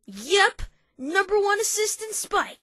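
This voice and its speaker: A woman's voice: very high voice, very animated, slow, pushed and bright, dry recording, clean studio sound, full character voice. A woman voicing a cocky, playful little boy dragon assistant in a childlike cartoon fan dub. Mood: boastful, exasperated.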